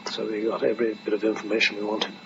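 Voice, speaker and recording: An older man, a veteran being interviewed, recalls his service in a halting, hesitant manner. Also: on the low side, completely flat delivery, gravelly. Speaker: air force veteran interviewee. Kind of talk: reminiscing in an interview. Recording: noisy, muffled archive interview tape.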